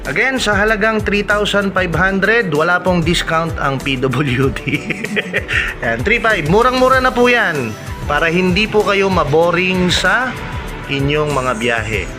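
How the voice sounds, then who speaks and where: A middle-aged man with a Filipino accent, chatting to viewers in a casual vlog full of shout-outs. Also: high voice, animated, measured pace. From Philippines. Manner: chatty, often addressing viewers. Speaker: motovlogger host. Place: vlogging in an intercom accessory shop. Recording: loud music behind the voice.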